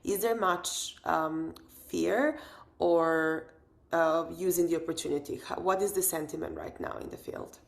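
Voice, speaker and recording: A woman's voice: fairly low pitch, a little slow, gravelly. A woman hosting an interview podcast, asking questions in a friendly, encouraging way. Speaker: podcast host. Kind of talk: casual interview talk.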